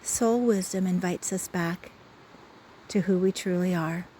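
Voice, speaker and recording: Slow, mellow woman guiding a meditation. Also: fairly low pitch, rough recording. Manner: soothing, measured, with frequent pauses. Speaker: guided imagery host and soul coach. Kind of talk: guided meditation talk. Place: outdoors by a river. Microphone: phone. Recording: faint outdoor ambient noise. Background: faint river ambience.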